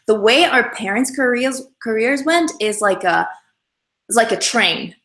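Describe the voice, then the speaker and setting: A woman's voice: a little high, lively intonation, measured pace, a bit bright, reverberant. A young woman whose first language is Russian, hosting a casual livestream and chatting upbeat through viewers' questions with many fillers and restarts. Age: young adult. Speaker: livestream host. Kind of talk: casual livestream chat answering comments.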